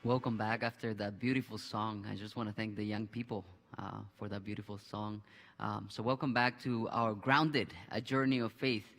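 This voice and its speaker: A man in his thirties hosting a church Bible discussion on a headset mic, halting with restarts and fillers. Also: fairly low pitch, flat delivery, a bit bright, in a large hall. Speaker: pastor and host. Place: church studio with a screen behind.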